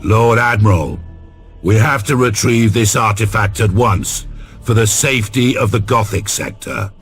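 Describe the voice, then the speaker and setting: An actor playing a solemn Inquisitor of a sci-fi empire, speaking in a formal, measured way for a video game. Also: male voice, on the low side, a bit bright, slightly rough, faint music behind, full character voice. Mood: grave, urgent. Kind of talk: dramatic video game voice acting.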